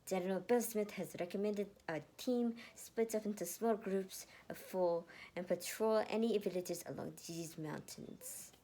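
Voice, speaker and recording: A teenage girl warrior commander, voiced by a woman reading a story, gives orders briskly. She sounds authoritative with a mischievous edge. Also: mid-range voice, dark tone, a little hiss or hum, lightly acted, poor audio. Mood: commanding. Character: mischievous, commanding sixteen-year-old female warrior commander.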